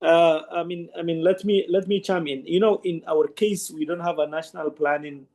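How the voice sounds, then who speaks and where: Gravelly man, halting. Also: fairly high pitch, fairly even intonation, a little mellow. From Somalia. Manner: earnest, halting explanation with frequent restarts. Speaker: conference participant asking a question. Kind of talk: online panel discussion.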